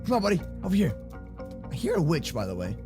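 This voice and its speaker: Excitable high-pitched young man, very lively. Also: fast, over music. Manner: excitable, exaggerated, slangy banter. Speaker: host of kids' gaming roleplay channel. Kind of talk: improvised Minecraft roleplay for children.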